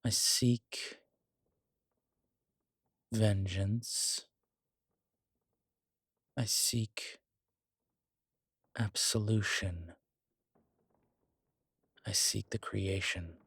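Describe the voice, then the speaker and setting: A man performs a world-weary male demon in an intimate horror roleplay. He talks very slowly in a lowish voice, picking through his thoughts with hesitant, dry wit. The sound is close and dry, as if speaking to one companion. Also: fairly bright tone, slightly rough, no echo, exaggerated voice. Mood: solemn reciting. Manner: hesitant, measured, musing delivery with dry humour. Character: wry, world-weary male demon investigating a haunted house.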